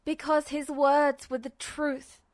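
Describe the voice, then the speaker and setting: High-voiced woman narrating dramatic fiction. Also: flat delivery, slow. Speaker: podfic narrator. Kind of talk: expressive audiobook narration of fan fiction.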